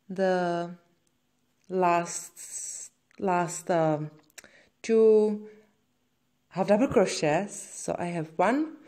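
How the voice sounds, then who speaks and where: A woman's voice: medium pitch, a little mellow. A woman with a Czech accent, a native Czech speaker, giving step-by-step craft instructions in simple, slightly hesitant non-native phrasing. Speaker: crochet tutorial host. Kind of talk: spoken step-by-step craft tutorial.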